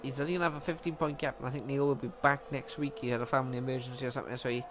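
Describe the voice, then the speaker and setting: A man's voice: medium pitch, racing. Welsh man commentating a sim race. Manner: chatty, self-mocking, corrects himself often. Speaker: sim racing league commentator. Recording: steady background noise.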